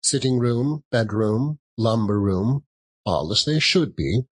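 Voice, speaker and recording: A man narrating a classic story as an audiobook, theatrical, with dramatic and deliberate phrasing. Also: medium pitch, lively intonation, slightly rough.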